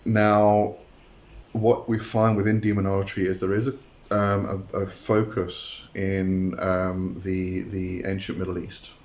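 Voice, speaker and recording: A man talking to his viewers in a hesitant monologue, often pausing on "um" and repeating words as he explains a term. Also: sits low, a little hiss or hum. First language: not a Greek speaker. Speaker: occult channel host.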